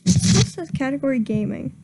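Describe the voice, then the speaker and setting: A woman's voice: high voice, animated, normal speed, mellow. A young woman streaming a comedic dramatic reading of fan fiction, giggling and stumbling over misread words.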